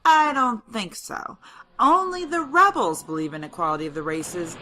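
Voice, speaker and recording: A woman's voice: fairly low pitch, lively intonation, a little slow, a bit bright, a little hiss or hum. A woman playing a defensive, self-important rebel leader in a comic sci-fi audio drama. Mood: indignant.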